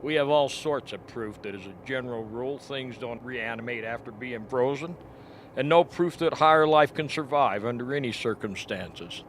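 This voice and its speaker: A man playing a matter-of-fact, skeptical expedition member in a radio drama, explaining in a measured way. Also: medium pitch, a bit bright, slight background noise, close to an everyday voice. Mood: dismissive, explanatory.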